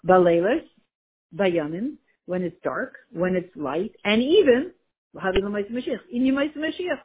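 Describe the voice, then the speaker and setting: A woman's voice: mid-range voice, measured pace, slight background noise. Woman teaching a Torah class over a phone line. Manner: lively, mixes Hebrew and Yiddish terms, searches for words. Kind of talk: Torah class explaining a text.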